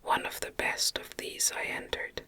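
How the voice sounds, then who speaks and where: A woman reads literary narration entirely in an unvoiced, breathy whisper. The sound is very bright and sibilant. Her delivery is gentle and unhurried, with deliberate, softly articulated short phrases in an ASMR style. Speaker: audiobook narrator. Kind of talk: ASMR whispered novel reading.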